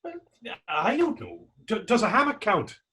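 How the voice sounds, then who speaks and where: A man acts a witty, skeptical Irish drifter from a period stage play. His voice is husky and very lively, rising and dropping with wry, sardonic turns. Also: high-pitched, normal speed, exaggerated voice. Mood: incredulous, joking. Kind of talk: stage readers-theater dialogue in Irish period dialect.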